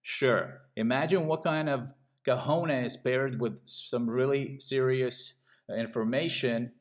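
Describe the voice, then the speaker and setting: A middle-aged man from Latvia giving a casual sales pitch while walking through trading charts. He talks in a pushy way and keeps checking in with "okay?". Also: steady tone, slow. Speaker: trading channel host.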